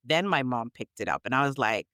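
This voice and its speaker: A woman's voice: a bass voice, very animated, brisk, a bit bright, no room sound, clean studio sound. A woman from Canada chatting on a podcast as a storyteller guest, emphatic and reflective in how she talks. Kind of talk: conversational podcast chat.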